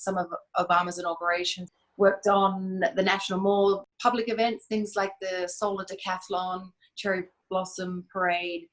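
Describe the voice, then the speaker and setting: A woman answering interview questions as a business founder, measured and reflective, sometimes restarting a phrase. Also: mid-range voice, low-quality recording. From Australia. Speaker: guest, event company founder.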